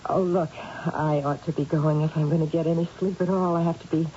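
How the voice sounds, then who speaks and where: A woman's voice: low-pitched, fairly even intonation, fairly quick, warm tone, lightly acted. An actress playing a lonely, talkative middle-aged woman in an old radio drama, hesitant and confiding as she rambles apologetically. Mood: friendly, hesitant. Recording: muffled, hissy old radio broadcast.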